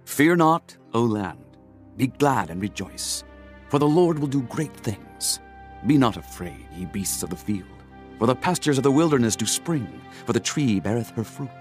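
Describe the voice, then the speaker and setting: A man narrating a dramatized scripture audiobook in a solemn, declamatory, measured manner. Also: medium pitch, very animated, soft background music.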